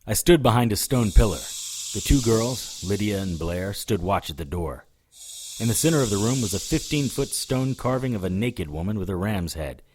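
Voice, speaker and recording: A man's voice: on the low side, expressive, moderate pace, noisy background, lightly acted. A man playing a determined, hard-boiled witch hunter, narrating an audio drama in the first person. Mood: tense, narrating. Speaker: lead character and narrator.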